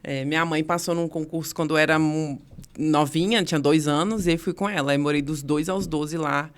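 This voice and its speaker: A woman's voice: low-pitched, steady tone, brisk. A woman guest with a slight Bahian Portuguese accent, talking in an earnest, determined way and laughing easily. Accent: light Bahian Portuguese. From Brazil. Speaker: prosecutor and podcast guest. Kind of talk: casual interview chat.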